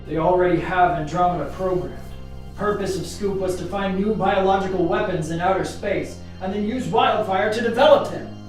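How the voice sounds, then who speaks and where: A woman's voice: lowish voice, normal speed, a little mellow, close to an everyday voice. A woman acting as a skeptical, indignant research scientist in an amateur radio play. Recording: very echoey, faint music.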